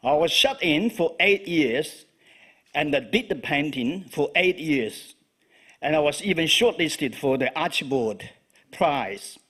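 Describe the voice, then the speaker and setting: A man with a Chinese accent, whose first language is Chinese, giving a live poetry reading in a halting way with frequent fillers. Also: fairly high pitch, lively intonation, fairly bright tone, husky. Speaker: poet.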